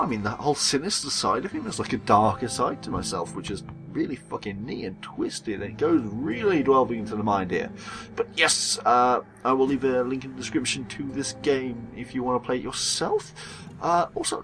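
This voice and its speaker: A man's voice: mid-range voice, a bit bright. A man hosting a gaming video, casually commenting on a visual novel with plenty of swearing. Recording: music behind the voice.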